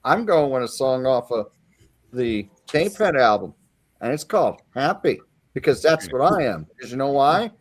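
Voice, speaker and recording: A man's voice: mid-range voice, big pitch swings, moderate pace. A man in his fifties, a panelist on a casual livestream music chat, enthusiastic and jokey. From Pennsylvania. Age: 50s.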